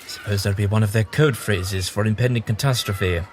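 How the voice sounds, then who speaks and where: An actor playing a whimsical alien traveller who muses aloud to himself in a sci-fi audio drama. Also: male voice, fairly low pitch, normal speed, slight background noise, close to an everyday voice. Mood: amused, musing. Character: whimsical, musing alien traveller, amused by humans.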